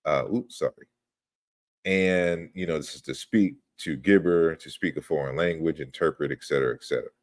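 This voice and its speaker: A man's voice: extremely low pitch, moderate pace, no room sound. A man giving an online lecture over slides, explaining step by step and often checking in with "right?". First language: not Yoruba. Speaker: lecturer on language history.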